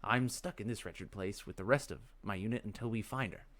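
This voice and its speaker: A man's voice: fairly low pitch, a little fast, fairly bright tone, natural voice. A man voicing a weary, grumbling town guard in a fantasy video game. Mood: weary questioning.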